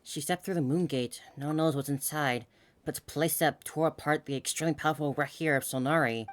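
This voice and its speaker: A woman's voice: sits low, brisk. A woman game streamer giving casual playthrough commentary, musing and humming to herself. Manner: musing, hums to self. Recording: game music behind.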